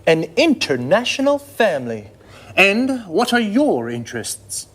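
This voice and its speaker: Friendly man presenting at a slow pace. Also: high-pitched, huge pitch swings, fairly bright tone, slightly rough. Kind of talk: scripted language-lesson presenting.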